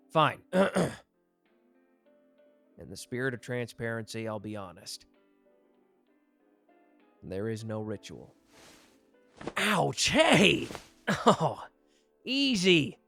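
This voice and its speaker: A man's voice: medium pitch, gravelly, fairly bright tone, dry recording, heavily acted. A man acting a suave, teasing young mafia executive with a love of fine wine, speaking playfully to the listener in a roleplay audio. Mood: amused, teasing.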